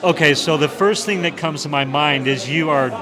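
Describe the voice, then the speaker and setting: A man's voice: a little high, moderate pace. A man hosting a talk show interview at a party, eager and chatty. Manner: eager, chatty, easily excited. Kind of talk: event interview chat. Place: busy indoor party. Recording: crowd noise of a busy party. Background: crowd chatter.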